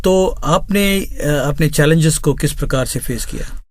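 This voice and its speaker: A man hosting a radio interview, putting questions conversationally in a somewhat halting way. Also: on the high side, no echo, clean studio sound.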